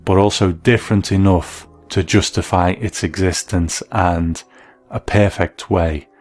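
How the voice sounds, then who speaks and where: A man's voice: low voice, steady tone, a little slow, darkish tone. A man hosting and reviewing in a scripted documentary narration style. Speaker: host and reviewer.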